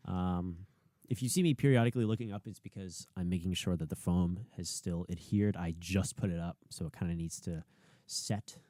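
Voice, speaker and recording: A man's voice: low-pitched, moderate pace, mellow, no room sound. A young man co-hosting a casual chat show, trading dry, teasing banter with his younger brother in a small home studio. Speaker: podcast co-host. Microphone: studio mic.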